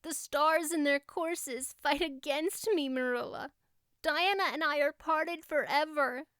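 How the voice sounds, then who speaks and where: A woman's voice: high voice, measured pace, a bit bright, dry recording, pitched above the performer's own voice. A woman voicing an earnest, melodramatic young girl in an audiobook, effusive and plaintive in manner. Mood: despairing. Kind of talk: audiobook character acting.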